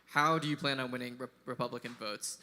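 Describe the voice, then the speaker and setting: A man moderating a political debate in a casual, quick-talking way. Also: medium pitch, fairly even intonation, bright, forceful tone. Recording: long reverb in a large venue.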